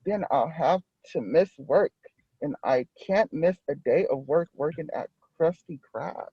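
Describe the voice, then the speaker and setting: A man's voice: fairly high pitch, measured pace, husky, no echo, lo-fi sound. A man voicing a sick, pleading cartoon sponge from a storybook, plaintive and protesting. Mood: anxious, protesting.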